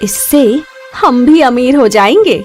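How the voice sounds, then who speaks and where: A greedy village sister-in-law, put on by a woman storyteller, plots in a slow, sly voice. She is calculating, swinging her melody like a cartoon villainess. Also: sits high, expressive, faint music behind. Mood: scheming.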